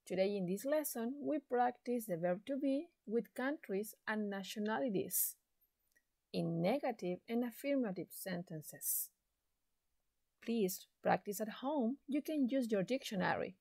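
Woman, English teacher voice-over, slow and clear, higher voice, dry close mic. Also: expressive, dark tone, no echo. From Chile. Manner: slow, deliberate, encouraging teacher talk. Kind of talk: slow recorded classroom lesson.

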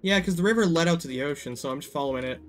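A man's voice: on the high side, rapid-fire, a little hiss or hum. A young American man streaming a game, chatting casually with friends in a laid-back way, murmuring asides as he plays. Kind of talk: casual gaming chat.

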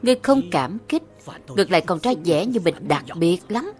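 A woman voicing an arrogant, menacing old male demon master in an animated fantasy dub, with haughty, deliberate threats. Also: fairly low pitch. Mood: haughty scorn.

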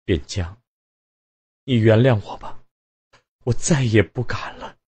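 A man's voice: fairly low pitch, takes it slowly, a little mellow, breathy edge, voice pushed up, full character voice. A man voicing a distraught young wife who pleads through tears with her husband in a storybook reading. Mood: pleading. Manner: tearful, pleading.

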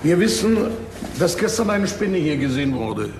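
An actor playing a brisk, authoritative police detective in a crime drama, curt and commanding. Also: male voice, on the high side, big pitch swings, vocal fry, background noise. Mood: stern. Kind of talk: crime drama acting in German.

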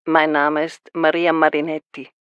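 A woman playing a calm radio caller. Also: low-pitched, normal speed, clean tone, no echo, muffled recording, natural voice. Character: woman caller who moved from abroad. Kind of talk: scripted dialogue.

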